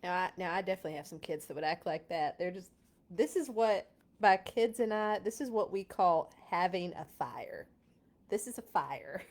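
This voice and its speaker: A woman hosting a children's read-along, casual and amused, with chatty, expressive asides. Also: lowish voice, normal speed.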